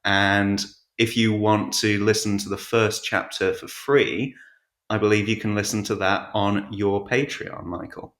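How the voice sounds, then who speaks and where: A man chatting casually as an interview guest, hesitant and low-key, with a roomy sound around his voice. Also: steady tone, unhurried, fairly bright tone. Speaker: podcast guest, audiobook narrator. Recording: echoey, roomy voice pickup.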